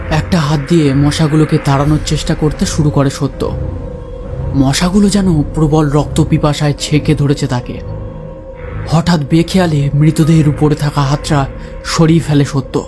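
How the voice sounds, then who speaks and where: A man narrating a scripted ghost story in a dramatic storytelling style. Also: fairly high pitch.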